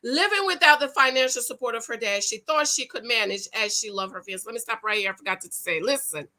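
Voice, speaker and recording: A woman giving casual commentary as she reads and reacts to a news story, with a loud, excitable voice that carries. She talks in an animated, preachy way, with emphatic repetition and a Pentecostal preacher's energy. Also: fairly high pitch, brisk. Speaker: YouTube commentator on money and marriage.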